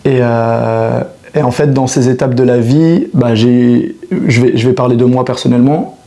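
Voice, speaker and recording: Mellow-voiced man in his thirties. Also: mid-range voice, normal speed. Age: 30s. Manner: hesitant, many restarts and fillers, reflective. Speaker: fitness coach. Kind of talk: casual monologue to camera.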